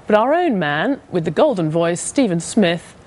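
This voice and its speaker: Low-pitched British female news presenter. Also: very animated, normal speed, a little mellow. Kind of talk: scripted studio news introduction.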